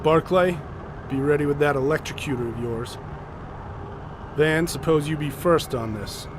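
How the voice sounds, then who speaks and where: An actor playing a commanding, methodical leader of a polar expedition in an audio drama, explaining things deliberately and with authority. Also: male voice, on the high side, noisy background, close to an everyday voice. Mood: resolute.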